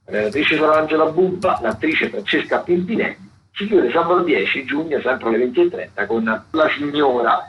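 A man's voice: a little high, expressive, normal speed. A man hosting a radio arts programme, talking through theatre listings in a semi-improvised way, often searching for words and repeating himself. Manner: hesitant, searching for words with repetitions. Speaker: radio host presenting a theatre events roundup. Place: radio studio. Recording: dull lo-fi radio sound, faint noise.

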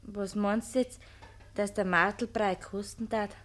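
A woman's voice: medium pitch, steady tone, measured pace, close to an everyday voice. A woman playing a shrewd, practical young cook in a Bavarian dialect radio drama from the 1950s, dry and matter-of-fact. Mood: probing, practical.

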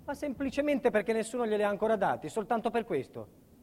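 A man's voice: high voice, very animated, fast, a little mellow, a little hiss or hum. A male actor playing a middle-aged family man under state protection, insistent and emphatic, in a dramatic stage monologue. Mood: firm, insistent. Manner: emphatic, insistent, repeats himself.

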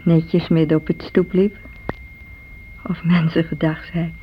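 An actress playing a calm, reflective grown daughter, speaking in a measured, matter-of-fact radio play monologue on an old recording. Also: female voice, low voice. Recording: muffled radio-play recording with faint hum.

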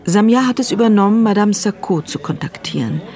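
An actress as the sober, factual narrator of a radio crime drama. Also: female voice, mid-range voice, lively intonation, brisk, mellow, lightly acted.

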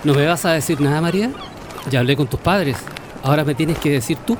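An actor playing an earnest, insistent suitor in a Spanish-language radio drama. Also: male voice, fairly high pitch, a little fast, close to an everyday voice. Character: earnest, insistent suitor pressing his proposal. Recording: noisy outdoor ambience.

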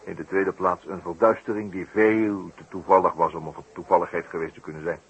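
Wry, low-voiced radio detective. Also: male voice, fairly even intonation, brisk, husky, heavily acted. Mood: probing. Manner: pompous, ironic, wordy turns of phrase. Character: wry male amateur detective, urbane, teasing, inquisitive. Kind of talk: 1960s Dutch radio play acting. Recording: noisy vintage radio play recording.

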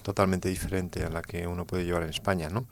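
Very deep, gravelly man. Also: level tone. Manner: halting, repeating small words. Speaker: interviewee. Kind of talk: conversational interview answers.

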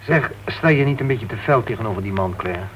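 A man's voice: on the low side, animated, brisk, lightly acted. An actor playing a sardonic, needling man in a writers' club, dry and teasing, in a Dutch radio play. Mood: questioning. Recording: dull, noisy old radio recording.

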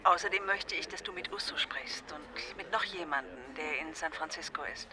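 A performer playing a hesitant former lover making a phone call in a naturalistic radio drama. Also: male voice, fairly high pitch, normal speed, close to an everyday voice. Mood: hesitant, coaxing. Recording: loud crowd behind, distant phone call.

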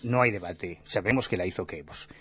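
A man's voice: low voice, moderate pace, a bit bright, slightly rough, highs cut off. A man arguing a point firmly and bluntly in a radio debate. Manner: assertive, blunt.

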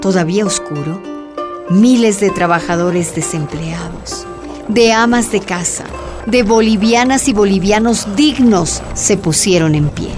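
A woman's voice: medium pitch, a little slow. A woman delivering scripted dramatic narration as the narrator of a radio drama. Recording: music behind.

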